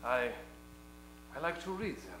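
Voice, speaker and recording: High, swooping fussy Frenchman. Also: sing-song, unhurried, roomy sound, background noise. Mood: apologetic. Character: fussy, apologetic Frenchman, an impresario. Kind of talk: comic 1940s radio-play acting.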